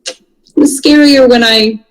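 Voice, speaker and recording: Woman with a higher voice, chatting casually. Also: steady tone, a little slow, rough recording. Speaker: podcast panelist. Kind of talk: casual chat.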